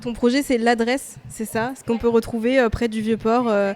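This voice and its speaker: A woman interviewer asking casual questions in a quick, chatty way. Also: a little high, level tone, darkish tone.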